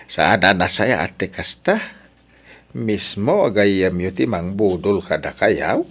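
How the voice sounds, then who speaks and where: A man with an Ilocano accent playing a worried middle-aged father in a radio drama, speaking in a low-key, questioning way. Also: animated, slow pace, fairly bright tone, breathy edge, heavily acted. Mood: worried, disbelieving.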